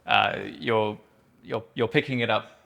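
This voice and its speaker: Male host, 30s, gravelly, agreeable interviewer. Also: on the low side, fairly even intonation, unhurried, fairly bright tone, lo-fi sound. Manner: agreeable, frequent short affirmations. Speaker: podcast host. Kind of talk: casual interview.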